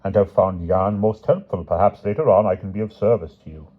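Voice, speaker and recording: A genial gentleman investigator from an audiobook mystery, acted by a man. He speaks with formal, old-fashioned courtesy and a sociable, amiable manner. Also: fairly low pitch, sing-song, normal speed, husky, narrow, tinny audio, pitched above the performer's own voice.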